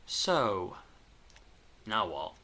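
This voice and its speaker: Actor as steady male investigator, guarded, terse, sober, fairly low pitch, crisp forward edge, audio drama. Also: very slow, exaggerated voice.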